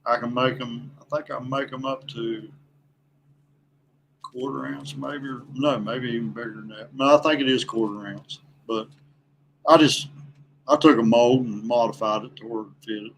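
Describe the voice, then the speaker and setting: A man speaking as a podcast guest in a casual, folksy, halting and plainspoken way. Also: medium pitch, steady tone, gravelly, fairly bright tone, a little hiss or hum, poor audio. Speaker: podcast guest, veteran angler. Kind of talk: casual chat.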